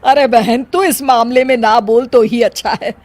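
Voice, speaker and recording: A woman's voice: fairly high pitch, fairly even intonation, normal speed, darkish tone, no echo, lightly acted. A woman voicing a proud, stubborn middle-aged Indian mother-in-law in a moral story, with a taunting, emphatic way of talking. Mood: indignant. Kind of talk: acted dialogue in a Hindi moral story.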